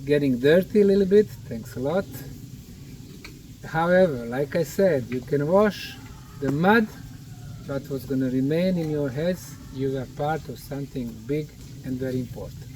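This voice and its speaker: A man giving an emphatic, didactic explanatory talk to volunteers in short, deliberate phrases. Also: a little high, a little mellow. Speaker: research project leader addressing student volunteers. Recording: noisy outdoor recording, distant microphone.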